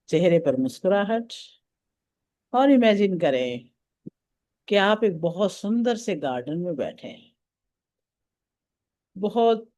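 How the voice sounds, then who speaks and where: A man leading a guided meditation as a teacher, giving soothing, deliberate instructions. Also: fairly high pitch, mellow. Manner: soothing, deliberate, counting out breaths and steps.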